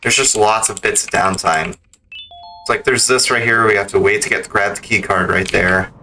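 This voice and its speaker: A man's voice: lowish voice, steady tone, moderate pace, bright, forceful tone, faint music behind, low-quality recording. A man giving casual gameplay commentary as a speedrun streamer. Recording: keyboard clicks and background noise.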